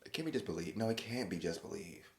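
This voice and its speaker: A man hosting a solo podcast, talking casually in a rambling, chatty way with many restarts and lively quoted voices. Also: low voice, fast talker, vocal fry, reverberant. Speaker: podcast host.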